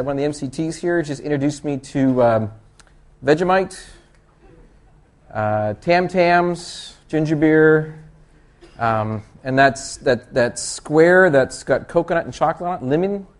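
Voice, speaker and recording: A man with a Canadian accent from the East Coast giving a chatty, joking conference talk full of rambling, self-deprecating asides. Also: a little high. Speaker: conference presenter.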